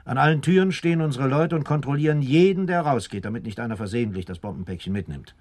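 An actor playing a composed, determined police captain in a radio drama, matter-of-fact and authoritative. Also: male voice, medium pitch, animated, fast, a little mellow, natural voice. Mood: urgent, convinced.

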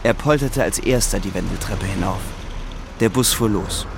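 A man reading scripted literary narration with a creaky voice. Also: on the low side, normal speed, over music.

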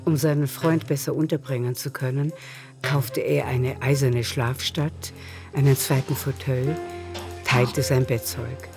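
A woman's voice: a bass voice, fairly even intonation, a little slow, vocal fry, music in the background. A woman narrating a literary radio drama in scripted prose.

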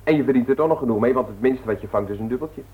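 A man's voice: medium pitch, racing, narrow, tinny audio, natural voice. A man playing a shrewd, businesslike young dealer in a radio play, matter-of-fact. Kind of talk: vintage Dutch radio play acting.

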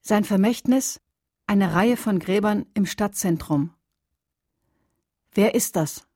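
An actress reciting as a solemn poetic voice in a radio play, measured and stark, with a slightly husky tone. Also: female voice, mid-range voice, warm tone, no echo, clean studio sound. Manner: measured, stark questions and pronouncements. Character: solemn female poetic voice of litany.

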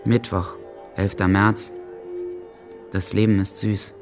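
Brooding teen reading his diary, super slow n low, radio drama, faint music. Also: little pitch movement. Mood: bleak, subdued. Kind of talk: radio documentary drama, read diary entries.

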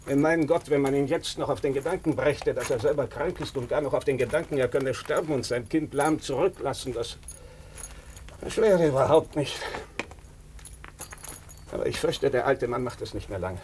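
An actor playing a blunt, shrewd doctor with a deliberate, sardonic, probing manner in a German radio drama. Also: male voice, mid-range voice, darkish tone, a little hiss or hum, lightly acted. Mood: grave, worried. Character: blunt, shrewd, bespectacled male country doctor.

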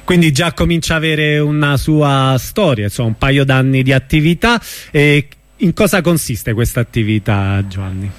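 A man's voice: mid-range voice, lively intonation, normal speed, dry recording. A man hosting a conversational radio interview, putting questions to a guest.

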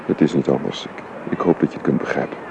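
An actor playing a grave, troubled father in a radio drama, speaking in a halting, solemn manner. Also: extremely low pitch, creaky. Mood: regretful, firm. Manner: halting, solemn, repeats himself. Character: grave, troubled widowed father dismissing his housekeeper. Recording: dull, noisy old radio recording.

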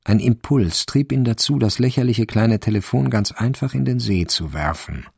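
A man's voice: medium pitch, moderate pace, gravelly, darkish tone, a little hiss or hum, natural voice. An actor playing a sober, wry male narrator, reading scripted literary narration in a radio crime drama. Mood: wryly detached.

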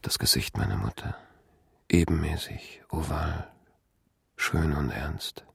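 An actor playing a brooding middle-aged man haunted by memories, speaking slow, fragmentary inner monologue in a radio drama. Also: expressive, a bit bright, dry recording, full character voice. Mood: pensive, subdued. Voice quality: low, gravelly, creaky. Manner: slow, fragmentary, introspective recitation.

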